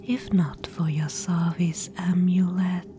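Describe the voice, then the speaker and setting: A woman voicing a sinister, patient spider-woman guide in a breathy, deliberate whisper for a fantasy ASMR roleplay. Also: on the low side, level tone, background noise. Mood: quietly gloating. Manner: slow, hushed, deliberate. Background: music.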